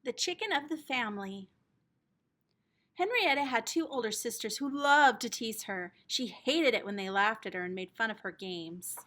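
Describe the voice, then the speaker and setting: A woman teacher reading a children's storybook aloud in an expressive, dramatic storytelling manner. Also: fairly high pitch, normal speed.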